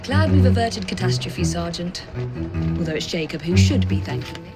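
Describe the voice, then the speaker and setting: A woman's voice: fairly low pitch, sing-song, moderate pace, loud music behind the voice. An actress playing a poised, sensible young Victorian woman in a game, British, dryly chiding. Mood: assured. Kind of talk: video game cutscene acting.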